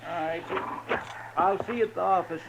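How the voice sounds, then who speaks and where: An American actor playing a loyal, simple deputy in a 1950s radio western, with a folksy, whiny rural drawl. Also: male voice, sits high, moderate pace, gravelly. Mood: weary, complaining. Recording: muffled, noisy 1950s radio recording.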